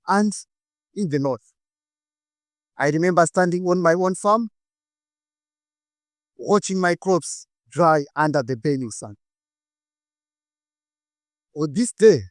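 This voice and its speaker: A young Malawian man with a Malawian English accent gives a rehearsed inspirational talk on stage, cutting his lines into short phrases with dramatic pauses. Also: a little high, dry recording, clean studio sound. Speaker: speaker giving a stage talk.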